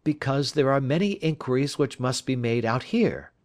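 A man's voice: medium pitch, lively intonation, moderate pace, a little mellow, voice pushed up, close to an everyday voice. A man playing an assured, courteous detective in an audiobook, speaking with precision. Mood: brisk, earnest. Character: brisk, assured male detective. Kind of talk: audiobook character voice.

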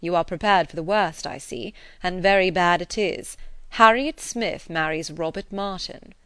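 A woman reading the lines of a grave, kindly gentleman in an audiobook, measured and earnest with a touch of gentle teasing. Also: on the low side, clear, resonant tone, dry recording.

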